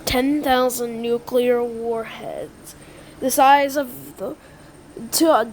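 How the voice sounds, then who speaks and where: Woman, slightly high voice, amateur scripted roleplay, halting delivery, repeated phrases, noisy recording with insect-like ambience. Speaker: creator performing a hobby emergency alert roleplay. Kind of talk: amateur scripted roleplay with read-out emergency announcements.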